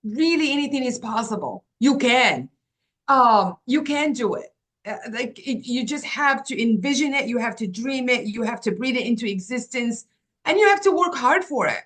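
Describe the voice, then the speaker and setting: A woman in her fifties, originally from Morocco, sharing her life story as a podcast guest. Her voice sits a little high, and she talks in an earnest, reflective way, pausing on "um" and "like" as she gathers her thoughts. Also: lively intonation, a bit bright. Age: 50s. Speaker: guest, agency founder. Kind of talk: personal life story in an interview.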